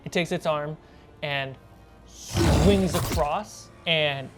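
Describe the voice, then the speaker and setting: A woman's voice: sits low, drawn-out words. A woman narrating a tabletop horror role-playing game as game master. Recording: music bed under the voice. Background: background music.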